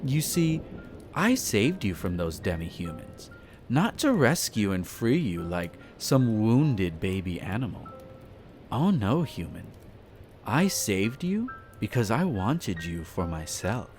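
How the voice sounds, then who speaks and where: A man as a slow-savoring, possessive aristocratic vampire. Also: on the low side, very animated, a little mellow, full character voice. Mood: amused, menacing. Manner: formal, condescending, savoring words with theatrical pauses. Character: possessive, flirtatious, aristocratic male vampire master. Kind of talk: scripted roleplay audio drama, addressing the listener. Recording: music behind the voice. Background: background music.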